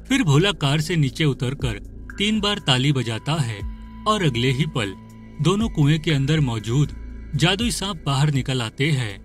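A male narrator tells a scripted cartoon tale. His voice is a little higher than average, and music plays behind him. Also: lively intonation, measured pace.

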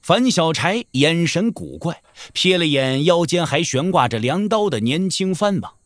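A male narrator tells a wuxia story in a scripted, dramatic style. His voice is fairly high and moves widely in pitch. The recording is dry and close. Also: animated, a little slow, dry recording.

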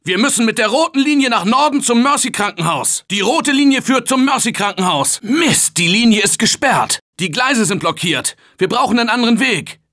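A male actor playing a confident, energetic survivor in a German video game dub, shouting warnings and calling directions. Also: very high-pitched, moderate pace, hardly any pauses, heavily acted. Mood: determined. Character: upbeat male office worker survivor, confident. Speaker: game character.

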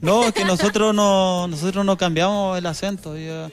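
A man in his thirties with a Chilean accent, a guest singer chatting earnestly on a TV studio set into a handheld mic, with some halting restarts. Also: sits high, fairly even intonation, soft background music. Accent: Chilean Spanish. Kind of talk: casual chat.

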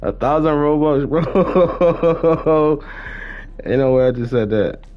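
A man's voice: a little high, slow, muffled recording. A young adult man chatting casually in street slang as he streams live gameplay. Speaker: game streamer.

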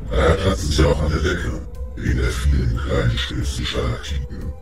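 Cavern-deep, gravelly servile demon beast. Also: male voice. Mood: cautious, concerned. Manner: deferential, slow, warning. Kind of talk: fantasy audio drama acting. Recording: echoey, with music behind.

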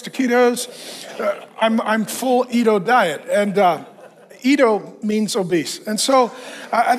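High-voiced pastor preaching, a bit hoarse. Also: male voice. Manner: animated, conversational preaching with self-deprecating humor. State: losing his voice, clearing throat. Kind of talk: sermon. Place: church stage, indoors.

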